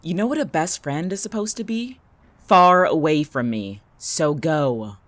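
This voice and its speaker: A woman's voice: deep voice, animated, measured pace, no room sound. A woman in an audio drama playing a weary, bitter, defiant young man, curt and sardonic. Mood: hostile.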